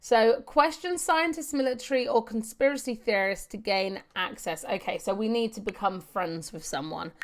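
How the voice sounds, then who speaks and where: A woman's voice: a little high, normal speed, hardly any pauses. A woman doing casual, chatty video game walkthrough commentary, talking to herself as she plays and reading out on-screen text. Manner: chatty, talks to herself while playing.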